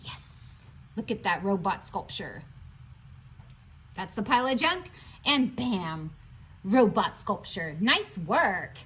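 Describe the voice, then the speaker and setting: A woman's voice: medium pitch, takes it slowly, slightly rough. A woman reading a picture book aloud to young children, chatting to them directly with questions and encouraging asides. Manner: animated, questioning, encouraging, addresses listeners directly. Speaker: children's read-aloud presenter.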